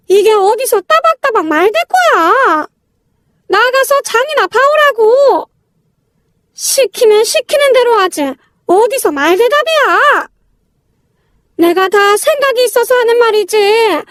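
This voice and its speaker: Bossy elder sister-in-law played by a female narrator, very high voice, blunt, scolding, short-tempered, for a dry-recorded story drama. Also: expressive, measured pace, no room sound. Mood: furious. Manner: scolding, talks down in blunt informal speech. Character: rude, bossy, shrill elder sister-in-law, quick to anger.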